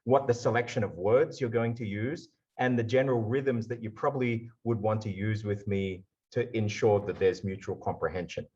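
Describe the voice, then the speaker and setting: A man with an Australian accent giving a lively conference talk over a video call. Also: on the low side, normal speed, few pauses, breathy edge, highs cut off. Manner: lively explainer, slipping into put-on accents for examples. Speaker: guest speaker.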